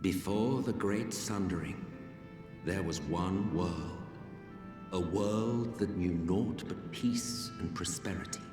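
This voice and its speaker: A slow, deep, theatrical ancient villain. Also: male voice. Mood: grave, theatrical. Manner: grandiose, measured, wry storyteller with hushed dramatic pauses. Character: weary, theatrical ancient villain recounting lost history. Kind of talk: video game cutscene dramatic monologue. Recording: echoey distant hall under loud music.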